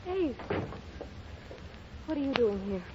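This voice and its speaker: A woman's voice: sits high, very animated, takes it slowly, a little hiss or hum, exaggerated voice. An actress playing a devoted, headstrong young woman in 1930s radio drama style, earnest and emotional. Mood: tender, anxious. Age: young adult. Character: devoted, headstrong grown daughter in love. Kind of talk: 1930s American radio acting. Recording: dull lo-fi 1930s radio broadcast.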